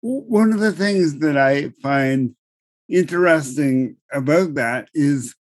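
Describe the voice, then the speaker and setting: A middle-aged man on a podcast, explaining legal matters in a measured way and repeating words as he starts each thought. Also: on the high side, no room sound. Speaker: podcast co-host explaining law. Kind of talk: explanatory conversational chat.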